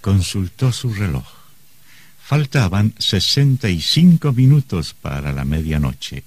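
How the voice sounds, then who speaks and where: A man's voice: low-pitched, sing-song, a little slow, slightly rough, no echo. A man narrating a horror radio play in a dramatic, scripted storytelling style. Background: music.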